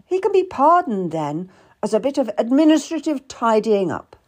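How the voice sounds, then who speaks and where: A woman's voice: a little high, very animated, normal speed, a little mellow, voice pushed up. A woman voicing a brusque, condescending, calculating Tudor nobleman in a dramatised audiobook. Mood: persuasive, calculating. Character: curt, haughty Tudor nobleman, busy and calculating.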